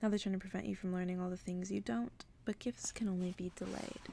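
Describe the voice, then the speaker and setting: Woman as a mocking old spinster, creaky and hushed. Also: mid-range voice, fairly even intonation, fast. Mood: scolding, insistent. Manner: brusque, teasing, scolding.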